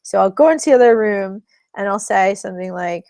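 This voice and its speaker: Woman coach, webinar teacher, slight vocal fry, dry close sound, chatty and explanatory. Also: mid-range voice, animated, a little fast, dark tone, no echo. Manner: chatty, explanatory, laughs between points. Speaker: relationship coach.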